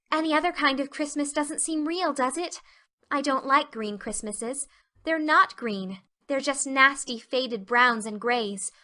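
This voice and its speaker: Woman voicing an excitable, bright, high-voiced young girl. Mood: delighted. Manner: gushing, effusive, breathless exclamations. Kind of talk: audiobook character acting.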